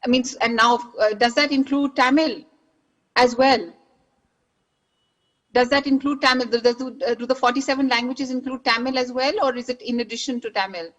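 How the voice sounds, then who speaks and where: A woman with a high voice moderates a literary panel. She asks polite, long-winded questions and rewords them several times before she lets go. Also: steady tone, normal speed, a bit bright, low-quality recording.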